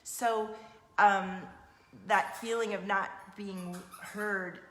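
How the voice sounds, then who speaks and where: A woman's voice: medium pitch, fairly even intonation, drawn-out words, a bit bright. A woman working as an energy coach, talking casually with a client in an affirming, explanatory way. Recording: echoey room with background noise.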